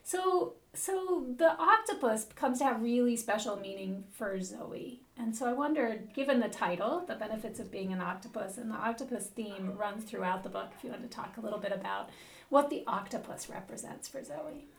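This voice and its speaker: A woman's voice: fairly high pitch, lively intonation, normal speed, gravelly, far from the mic. A woman hosting a conversational book podcast, thoughtful and reflective, recorded in a roomy space away from the mic. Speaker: podcast host.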